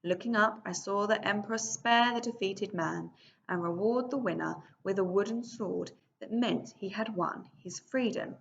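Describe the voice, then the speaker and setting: Woman teacher, reading aloud to pupils, slow and clear, asks the class questions, middle pitch, slightly dull lo-fi sound. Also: a little hiss or hum. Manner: reads slowly and clearly, stopping to ask the class questions. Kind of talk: reading a book aloud to pupils in a video lesson.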